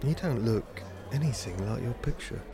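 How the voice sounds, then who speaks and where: A man's voice: medium pitch, animated, moderate pace, dark tone, close to an everyday voice. An actor playing an awkward, tactless British man on a first date in an audio drama, joking clumsily and bluntly. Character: awkward, tactless male first-date novice, foot in mouth.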